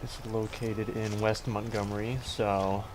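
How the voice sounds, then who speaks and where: A man with a congested voice giving a dry, sarcastic narrated tour of a run-down cemetery, often halting and correcting himself. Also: lowish voice, flat delivery, background noise. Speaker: video host.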